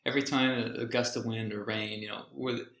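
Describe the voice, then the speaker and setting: A man co-hosting a podcast, telling stories casually in an animated, jokey, sometimes profane way. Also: lowish voice, fast talker, a crowd in the background. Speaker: podcast co-host. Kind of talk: casual conversational storytelling. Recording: roomy echo.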